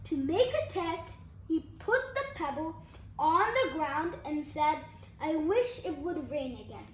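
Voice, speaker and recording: Child storybook reader, very high voice, careful delivery, recorded in an echoey room. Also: animated, measured pace, fairly bright tone. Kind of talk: storybook read-aloud. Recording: echoey room, faint background noise.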